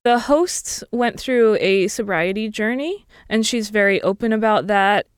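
A woman's voice: mid-range voice, unhurried, clean tone, no echo, clean studio sound. A woman talking casually and thoughtfully, giving commentary with plenty of "you know" and "like". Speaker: podcast producer and guest commentator.

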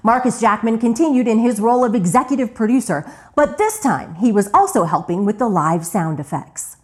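A woman's voice: a little high, expressive, brisk. An American woman reading scripted documentary narration.

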